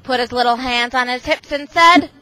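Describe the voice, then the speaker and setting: A woman reading a storybook aloud to children in a classroom, with animated, expressive storytelling. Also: high-pitched, normal speed. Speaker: reading tutor and visiting parent reading to a class. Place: school classroom. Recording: very noisy amateur classroom recording.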